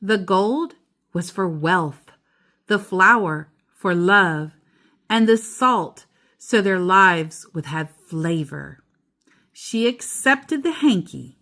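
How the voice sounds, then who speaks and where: A woman's voice: fairly low pitch, expressive, takes it slowly, fairly bright tone. A woman reading a children's picture book aloud, with simple explanations in casual asides to a young listener.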